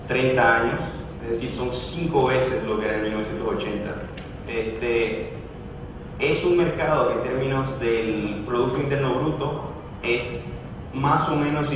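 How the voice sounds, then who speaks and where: Guy giving a talk in a big echoey room, mic far off, lots of ums and restarts. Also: medium pitch. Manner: explanatory, with frequent hesitations and restarts. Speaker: conference speaker. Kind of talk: conference presentation. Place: large conference room. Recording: echoey hall, distant mic, background noise.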